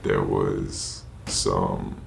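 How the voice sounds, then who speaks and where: A man's voice: a bass voice, monotone, drawn-out words, creaky. A teenage male student with a Nigerian accent giving reserved answers as an interview guest. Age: teens. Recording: roomy echo, background noise.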